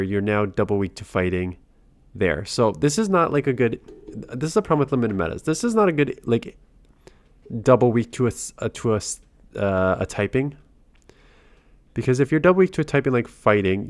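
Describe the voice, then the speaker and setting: A sick man with a stuffy, nasal voice on the low side, talking through his game in a loose, casual way. He often cuts himself off and restarts his sentences. The sound is close and dry, with no room in it. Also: no room sound. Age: adult father. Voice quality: nasal, congested. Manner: thinks aloud, breaks off and restarts. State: sick with a cold. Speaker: gaming channel host. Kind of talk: casual battle commentary.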